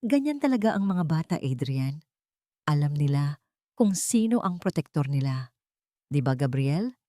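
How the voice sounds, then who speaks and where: Gentle, affectionate young wife for an audio romance, played by a female narrator: tender, slightly low, dry and close. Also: sing-song, measured pace, no room sound. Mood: tender teasing. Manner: tender, sincere.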